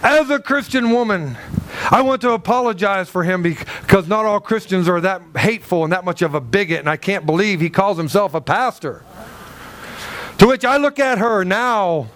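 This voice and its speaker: A man preaching a sermon as pastor, emphatic and animated, with a loud voice that carries, acting out the people in his stories. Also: high-pitched, normal speed, slight background noise. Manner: loud, carrying voice. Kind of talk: sermon with storytelling.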